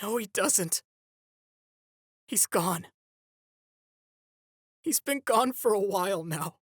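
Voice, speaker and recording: A woman playing a wounded, defensive teenage boy turned villain in a comic dub, guarded and halting. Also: mid-range voice, lively intonation, husky, no room sound, studio quality. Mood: bitter, resigned. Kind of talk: dramatic comic dub voice acting.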